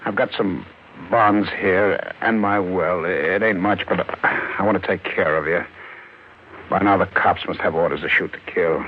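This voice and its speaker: Desperate fugitive husband, American, hard-boiled, terse, 1940s radio drama, low voice, dull hissy archive sound. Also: male voice, unhurried. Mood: urgent, desperate. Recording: muffled, noisy old radio recording.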